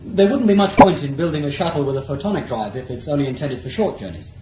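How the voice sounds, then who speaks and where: An actor playing a keen, questioning young spaceship crewman in a radio drama, brisk, persuasive and insistent. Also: fairly high pitch, animated, natural voice. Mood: keen, technical. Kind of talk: 1980s radio drama acting. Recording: dull roomy old radio recording, light noise.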